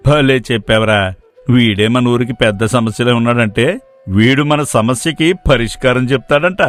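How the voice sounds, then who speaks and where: A scheming young village sidekick from a moral tale, played by a man, speaks at middle pitch. He is lazy, casual and a bit mocking. Music plays behind him. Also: normal speed, close to an everyday voice.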